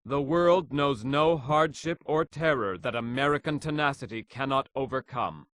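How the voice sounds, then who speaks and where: A man plays a resolute male president addressing the nation in a game cutscene. His mid-pitched voice is solemn and oratorical, with deliberate emphasis, recorded dry and without room sound. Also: fairly bright tone, no room sound, natural voice. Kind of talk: formal televised political speech.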